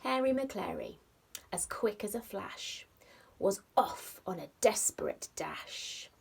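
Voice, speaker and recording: A woman reading a picture book aloud to young children like a teacher, expressively, with dramatic pauses and stressed rhymes and sound words. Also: medium pitch, lively intonation, vocal fry, in a whisper. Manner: simple explanatory asides addressed to young children. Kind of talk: animated storybook read-aloud.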